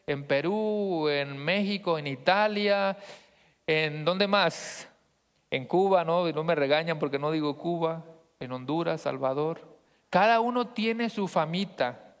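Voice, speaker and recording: A male pastor with a northern Mexican accent delivers a teaching sermon in a high, smooth, clear voice. He talks conversationally to his congregation, often checking in with short questions. His words ring with the long reverb of a hall. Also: measured pace, a bit bright. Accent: northern Mexican Spanish. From Monterrey, northern Mexico.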